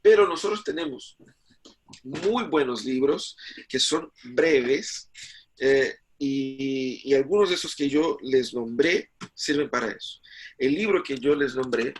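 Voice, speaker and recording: Husky Brazilian-born man lecturing. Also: medium pitch, takes it slowly. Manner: hesitant, many fillers and restarts. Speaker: Bible teacher. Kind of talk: online class lecture.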